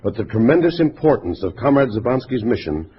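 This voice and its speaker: On a muffled, faintly noisy old radio recording, a man acts a formal party comrade. He is earnest and deferential, in 1950s radio drama style with animated intonation. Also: medium pitch, a little fast, natural voice. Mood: earnest, apologetic.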